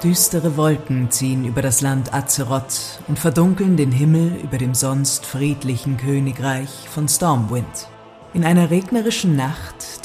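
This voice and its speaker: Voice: mid-range voice, dark tone. A narrator telling an audio drama tale in a playful tone, speaking directly to the listeners.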